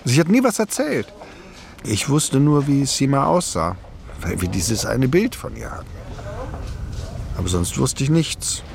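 Creaky-voiced older man, lively intonation. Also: mid-range voice, a little slow, long pauses, a little hiss or hum. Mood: reflective. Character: older man recalling a childhood among survivors' families. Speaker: eyewitness narrator in a radio documentary drama. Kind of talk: reflective first-person recollection, German radio play.

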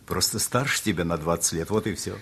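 An actor playing a tender, plain-spoken middle-aged husband with a very husky, breathy voice and sincere manner in a radio play. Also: male voice, sits low, a little fast, fairly bright tone, exaggerated voice.

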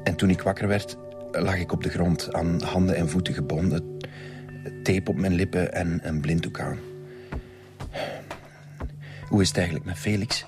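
A man playing a shaken, injured nurse in a radio drama, dazed and stammering, with a breathy Flemish-accented voice. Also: deep voice, steady tone, over music. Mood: shaken, halting. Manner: halting, stammering, repeating words. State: in pain, dazed. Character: shaken, injured male nurse giving halting testimony. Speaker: nurse, witness.

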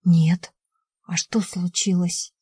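A woman's voice: lowish voice, little pitch movement, slow, mellow, slightly rough, no room sound, full character voice. A woman playing a shaken, anxious wife, the heroine of an audiobook novel, with a tense manner. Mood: stunned, subdued.

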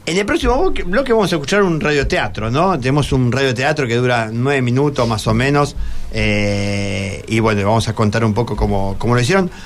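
A man's voice: mid-range voice, a little fast, slight background noise. An Argentine man hosting a casual radio interview, conversational, often rephrasing and checking in with his guests. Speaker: radio host interviewing students. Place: radio studio.

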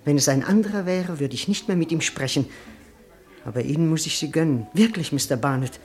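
An actor playing a naive, lovestruck young man who speaks effusively and sometimes drops to hushed tones in a radio play. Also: a little high, a little fast, close to an everyday voice. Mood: gushing. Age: young adult.

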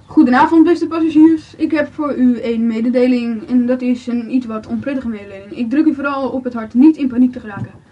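A woman's voice: on the high side, fairly quick, close to an everyday voice. A woman acting a formal airline captain making announcements in an amateur radio play. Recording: distant, muffled, very noisy amateur recording.

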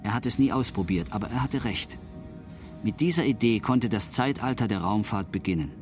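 A man's voice: on the low side, normal speed, over music. A man reading scripted documentary narration for the radio.

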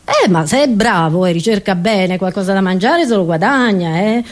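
A woman's voice: mid-range voice, expressive, normal speed. A woman comedian, delivering a satirical monologue in a theatrical, exclamatory way, speaking straight to her listeners.

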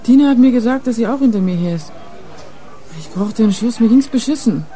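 A woman's voice: a little high, lively intonation, fairly quick, mellow, faint music behind, natural voice. An actress playing a streetwise nineteen-year-old club girl turned informant in a radio crime drama, slangy and blunt. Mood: agitated, defensive.

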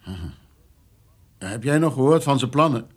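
A man's voice: mid-range voice, expressive, normal speed, slightly rough. An actor playing a stern, self-righteous middle-aged father, curt and authoritarian in a radio drama.

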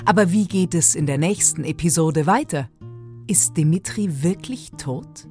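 A woman's voice: on the low side, unhurried, mellow, faint music behind. A woman narrating a parody audio drama in a playful, teasing way.

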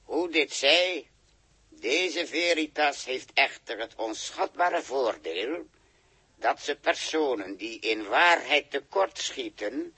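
An actor playing a stiff, ceremonious alien interrogator in a 1960s science-fiction radio drama. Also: male voice, mid-range voice, animated, slow pace, pushed and bright, breathy edge, exaggerated voice. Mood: stern, formal. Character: formal alien interrogator running a truth test. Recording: muffled-edged 1960s radio recording.

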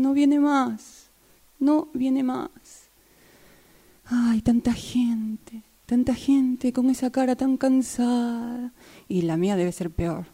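A woman's voice: on the high side, mellow. A woman acting in amateur radio theatre as a weary working woman waiting for a train.

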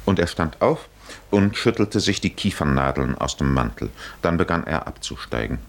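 An actor playing a brooding male storyteller in a 1950s radio play, speaking in a solemn, deliberate, literary way. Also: rumbling low, animated. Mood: measured, narrating. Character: grave male storyteller addressing Odysseus, brooding. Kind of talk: 1950s radio drama narration.

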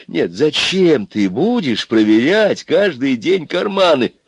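A man's voice: medium pitch, big pitch swings, unhurried, no echo, voice pushed up. A man voicing a domineering, blustering middle-sized bully of an interrogator in a story, barking and lecturing insistently. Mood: exasperated.

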